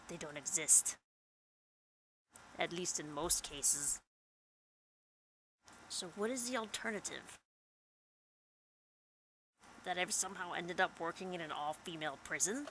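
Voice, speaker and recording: Bright-voiced woman reading a visual novel. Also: lowish voice, slight background noise, low-quality recording. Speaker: gaming channel host. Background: game music.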